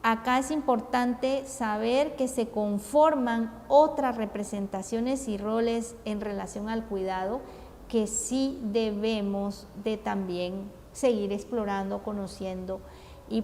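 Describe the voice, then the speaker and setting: A woman from Guatemala with a Guatemalan Spanish accent, giving a formal conference talk in a measured, deliberate way with frequent hesitations. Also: medium pitch, fairly even intonation, creaky, darkish tone. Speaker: conference speaker. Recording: long reverb, faint ambient noise.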